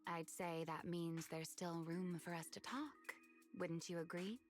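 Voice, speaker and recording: A woman playing a confident, teasing, sly strategist in a sci-fi video game, with playful, measured theatrical delivery. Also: lowish voice, steady tone, lightly acted. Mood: reassuring. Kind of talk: scripted video game voice acting. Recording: game music behind the voice. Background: background music.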